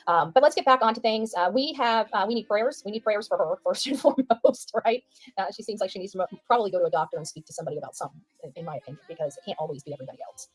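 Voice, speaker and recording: A woman's voice: mid-range voice, lively intonation, very fast, breathy edge, no echo, poor audio. A woman giving informal livestream commentary, emphatic and repeating phrases for stress.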